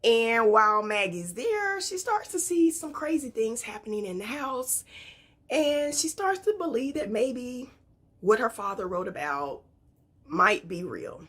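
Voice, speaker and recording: A woman's voice: a little high, big pitch swings, a little slow, a bit bright, husky. A woman talking casually to her viewers as a book vlogger, telling stories in a conversational way and tossing out rhetorical questions. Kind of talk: casual, chatty book haul presentation.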